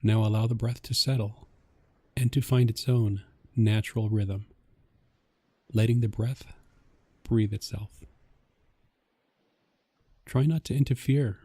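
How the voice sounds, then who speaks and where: A man narrating a guided meditation in a gentle, calming, measured way, with a soft voice. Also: mid-range voice, husky, no echo.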